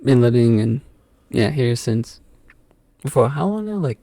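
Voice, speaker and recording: Young male podcast guest from England, Afrikaans-raised, mellow and dry, rambles with fillers. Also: mid-range voice, sing-song, unhurried, no room sound. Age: young adult. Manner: rambling, hesitant, many fillers. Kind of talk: casual podcast interview.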